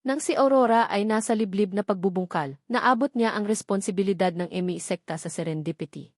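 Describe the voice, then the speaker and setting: A woman's voice: mid-range voice, brisk, darkish tone, dry recording, clean studio sound. A woman reading a novel aloud as a scripted audiobook narration.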